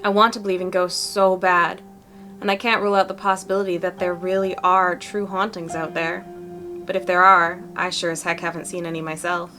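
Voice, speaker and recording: A woman's voice: on the low side, level tone, a little fast, pushed and bright, faint music behind. A woman playing a chatty, upbeat young cryptid hunter who makes videos, with an earnest, folksy way of talking in fan-dubbed game dialogue. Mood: candid, earnest.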